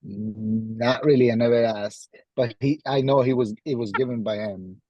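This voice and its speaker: A man from Guatemala with a Guatemalan Spanish accent, chatting casually as an interview guest and often repeating his phrases haltingly. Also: medium pitch, fairly even intonation, a little mellow, no echo, muffled recording. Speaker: podcast guest, tradesman.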